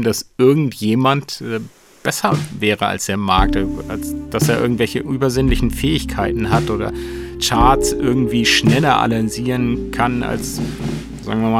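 A man's voice: medium pitch, gravelly. A man speaking as an expert in an interview, explaining in a reasoned, instructive way. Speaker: financial expert being interviewed. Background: music.

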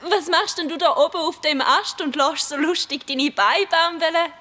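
Earnest young girl, Swiss German accent, very high pitch, concerned friend in a radio play. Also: normal speed, bright, forceful tone. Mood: friendly. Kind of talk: children's radio play acting.

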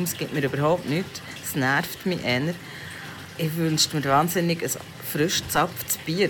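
A Swiss German woman speaks in a notably low voice. She muses candidly and without a script, like a personal podcast diary. Clear background noise runs under her words. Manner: candid, unscripted, musing. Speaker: podcast host and parent. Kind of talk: casual personal audio diary.

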